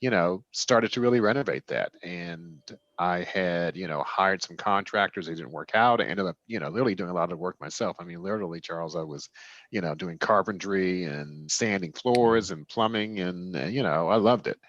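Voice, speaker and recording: A man in his sixties speaks in a low register, as an interview guest talking through his own experience. He explains things conversationally and in a personal, discursive way. The audio is dull and somewhat lo-fi. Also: normal speed, a bit bright, no echo. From Washington, D.C. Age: 60s. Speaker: interview guest, real estate developer.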